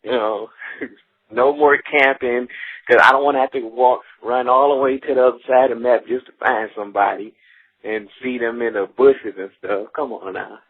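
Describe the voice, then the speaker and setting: A man's voice: medium pitch, moderate pace, breathy edge, no room sound. Rambling man on an old phone voicemail. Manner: repeats words when excited, says "you know" a lot. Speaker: caller leaving a voicemail. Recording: muffled phone answering machine.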